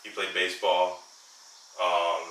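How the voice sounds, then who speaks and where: A man's voice: deep voice, little pitch movement, slow pace, pushed and bright, slight background noise. A twenty-year-old man hosting a podcast in casual chat, rambling loosely with plenty of fillers. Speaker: podcast host. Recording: echoey room, mic at a distance.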